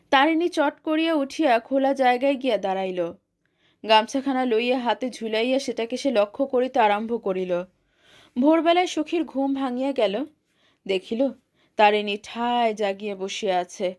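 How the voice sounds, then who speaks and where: A woman narrating a literary short story in a measured, formal reading style. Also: on the high side, darkish tone.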